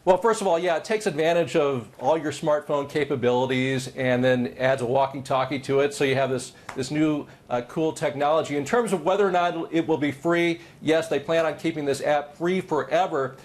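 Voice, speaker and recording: A man's voice: on the high side, moderate pace, few pauses. A man reporting on television, explaining and interviewing in a semi-scripted way. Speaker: TV news reporter.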